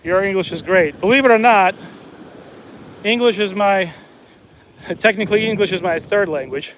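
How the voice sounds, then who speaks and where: A high-voiced man talks into his phone on a windy beach, chatting away to his viewers and to the fish he catches. The sound is a little dull, with faint wind behind him. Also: moderate pace, fairly bright tone. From Soviet Union. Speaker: fishing livestream host. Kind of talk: casual live commentary while fishing. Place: outdoors on a beach by the water.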